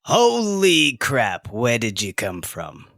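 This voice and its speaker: A woman's voice: extremely low pitch, wildly swooping pitch, slow pace, very noisy, exaggerated voice. A woman playing a blunt, casual young half-orc assistant with a brash, informal manner in an audio drama. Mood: startled, blunt. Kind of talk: scripted audio drama dialogue.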